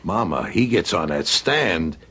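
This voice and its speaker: An actor playing an uncouth gangster errand man, deferential yet casually menacing, with halting slangy talk and bad grammar in a radio crime drama. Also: deep voice, sing-song, fairly bright tone, exaggerated voice. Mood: pressing. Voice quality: gravelly, husky. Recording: dull lo-fi radio recording.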